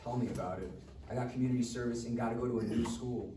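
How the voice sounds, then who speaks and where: A man plays a wisecracking rich teenage boy, bitter and foul-mouthed. The voice is soft-edged and mellow. It is heard at a distance in an echoey room during a script read. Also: mid-range voice, steady tone, quick pace, close to an everyday voice. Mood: cocky. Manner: sarcastic, wisecracking. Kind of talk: live screenplay table read. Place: live reading event in a room. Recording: echoey room, distant mic, faint noise.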